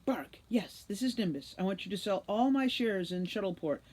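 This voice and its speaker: Woman reader as storybook elf-goblin port director: anxious, hurried, self-interested. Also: medium pitch, animated, darkish tone, voice pushed up, close to an everyday voice. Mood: hurried, scheming.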